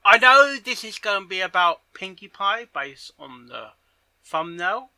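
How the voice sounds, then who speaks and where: A man hosting a reaction video, commenting excitably, with loud announcements and halting, muttered asides. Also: sits high, no room sound. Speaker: host of a reaction channel.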